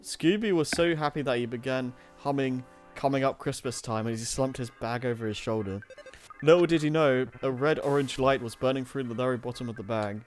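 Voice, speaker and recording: A man's voice: mid-range voice, a little fast, faint music behind. Man narrating a story, stumbling over words. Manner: stumbles and self-corrects while reading. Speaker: narrator of a streamed fan-fiction reading. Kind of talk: storybook narration with casual asides.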